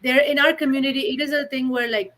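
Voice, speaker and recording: A woman's voice: high voice, fairly even intonation, quick pace, a bit bright. A young woman, a filmmaker from India, answering interview questions in a chatty way full of fillers. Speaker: filmmaker and writer.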